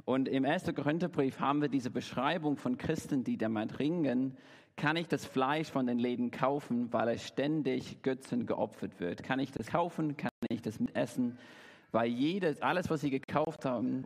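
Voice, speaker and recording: A man's voice: medium pitch, darkish tone. A man from England, a native English speaker, preaching a sermon in German with frequent fillers and restarts. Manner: preaching in German as a learner, with self-corrections and fillers. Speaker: pastor. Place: church hall. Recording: reverberant church hall.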